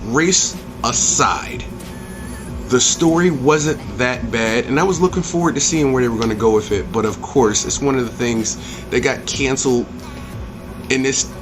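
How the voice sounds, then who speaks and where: Man, average pitch, emphatic and profane reviewer, opinionated rant, repeated refrains, prominent background music. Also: normal speed. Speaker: video game movie reviewer and channel host.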